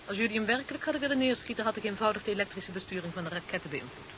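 A woman's voice: mid-range voice, expressive, very fast, natural voice. A woman playing a cold, resolute alien agent who still loves the man she opposes, controlled and ominous, in a 1970s radio drama. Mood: cold, threatening. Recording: muffled, hissy 1970s radio recording.

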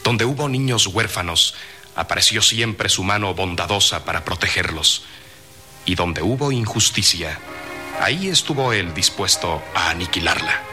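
Deep, very bright, forceful radio narrator. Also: male voice, animated, soft background music. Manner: solemn, declamatory, measured phrasing. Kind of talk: dramatic scripted radio serial narration.

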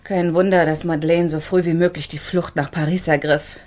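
Actress as sharp-witted, outspoken woman. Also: deep voice, fairly even intonation, brisk, natural voice. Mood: indignant. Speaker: actor in a radio crime drama. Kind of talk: German radio drama acting.

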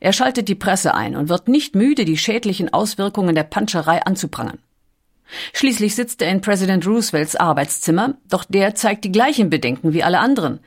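A woman's voice: mid-range voice, expressive, brisk. A woman reading a scripted documentary audiobook as its narrator. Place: recording studio.

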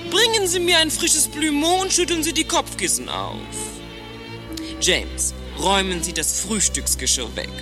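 An actress playing a fussy, bedridden Victorian lady in a satirical radio play, commanding and querulous. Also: on the high side.

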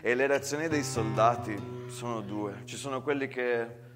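A man's voice: medium pitch, unhurried, over music. A man, narrating a theatrical monologue as an actor.

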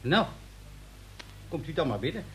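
Raspy, pompous eccentric inventor. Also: male voice, a little high, big pitch swings, a little slow, exaggerated voice. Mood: indignant. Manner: pompous, explanatory. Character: eccentric self-important male inventor of trivial things. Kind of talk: radio play acting.

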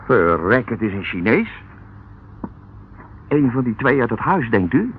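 An excitable policeman sidekick in a radio drama, wary and colloquial. His pitch jumps about in lively swings. The sound is thin and band-limited with clear noise. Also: unhurried. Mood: alarmed. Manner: colloquial, exclaiming. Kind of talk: radio play acting. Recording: narrow, noisy old radio recording.

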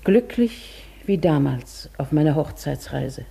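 An aged widow of a radio play, proud in her poverty and full of wistfulness. Her mellow voice is husky and crackles with fry. She chooses her words formally and with measured care. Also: sits low, animated, exaggerated voice. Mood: wistful confession. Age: older. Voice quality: husky, creaky. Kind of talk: German radio drama acting.

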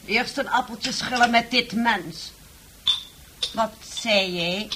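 An actress playing a haughty, domineering woman who styles herself a countess, speaking in a condescending, imperious way in a radio play. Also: a little high. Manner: imperious, condescending, slow and grand. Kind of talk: Dutch radio play acting. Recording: noisy old radio drama recording.

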